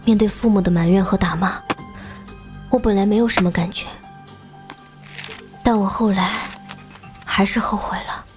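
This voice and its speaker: A woman playing a bitter, remorseful young woman in an animated drama, narrating her past in a measured, melancholy way. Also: medium pitch, faint music behind, close to an everyday voice. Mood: bitter, regretful.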